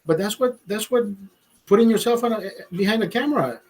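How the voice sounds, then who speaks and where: A man in his forties with a notably high voice, chatting loosely to his livestream viewers. He rambles, swears freely and restarts phrases, and he sounds a little tipsy as he sips beer. His pep talks swing loud and emphatic. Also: fairly quick. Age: 40s. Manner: loud emphatic motivational rants. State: drinking beer, a bit tipsy. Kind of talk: casual livestream chat.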